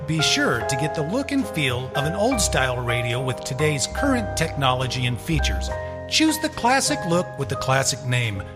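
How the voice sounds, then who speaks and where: A man hosting internet radio, reading a scripted promotional announcement. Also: on the high side. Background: loud music.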